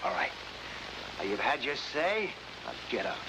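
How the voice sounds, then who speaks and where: An actor playing a surly, cornered murder suspect in a 1950s crime drama, curt and defensive. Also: male voice, medium pitch, very animated, measured pace. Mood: angry, cornered. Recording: noisy, hissy 1950s TV soundtrack.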